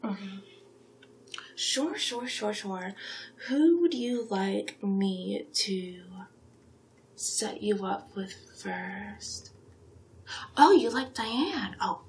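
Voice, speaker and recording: Slow, soft-spoken ASMR woman, often whispering. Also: medium pitch, slight background noise. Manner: gentle, encouraging, salesy, unhurried with frequent whispered phrases. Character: friendly, flattering female matchmaker for monsters. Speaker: ASMR roleplay performer playing a monster matchmaker.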